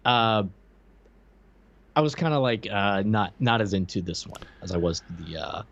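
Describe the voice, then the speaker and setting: A man's voice: lowish voice, expressive, normal speed, gravelly, dull audio. A man in his forties co-hosting a podcast with casual, teasing, joking banter. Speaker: podcast co-host. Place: indoor room, on a video call.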